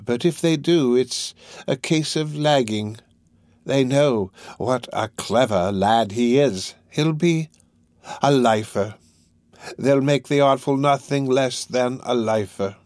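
A man voicing a wily, quick-tempered old gang master in a storybook reading, with a drawn-out, coaxing delivery. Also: mid-range voice, darkish tone, no echo, studio quality, pitched above the performer's own voice, close to an everyday voice. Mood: gloomy. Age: older.

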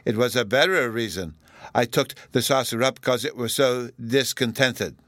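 A man's voice: medium pitch, normal speed, fairly bright tone, no room sound, voice pushed up, lightly acted. Man voicing an earnest little boy with babyish speech. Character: small boy, earnest and stubborn, childish grammar.

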